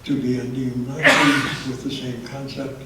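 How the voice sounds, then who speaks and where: A man's voice: medium pitch, level tone, unhurried, very noisy. A man giving a public history talk to an audience, with many hesitant fillers, recorded through a handheld microphone in a meeting room. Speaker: history presenter. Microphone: handheld microphone, held low at times. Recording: echoey room from far off.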